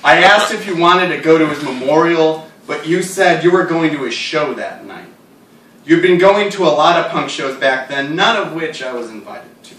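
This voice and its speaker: Male literary reader, slightly high voice, unhurried and wry, deadpan delivery, distant roomy recording. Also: slight background noise. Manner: deadpan, wry, serious delivery of absurd lines. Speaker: writer reading his own work aloud. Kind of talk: live literary reading of stories and poems. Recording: roomy echo, distant mic.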